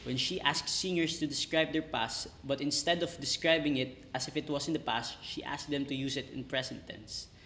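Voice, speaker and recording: A young man from the Philippines, a university student, reading a class presentation in a measured way indoors. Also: medium pitch, fairly even intonation, pushed and bright, slight background noise. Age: young adult. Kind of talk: scripted class video presentation. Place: indoor room by a window. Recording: echoey indoor room.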